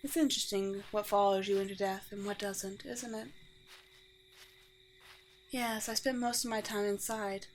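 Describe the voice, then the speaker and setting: A woman playing a courteous, teasing, world-weary vampire queen in a roleplay audio drama, with formal, old-fashioned and gently wry phrasing. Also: medium pitch, flat delivery, normal speed, long pauses, close to an everyday voice. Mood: gracious, playful. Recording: clear background noise with ambience.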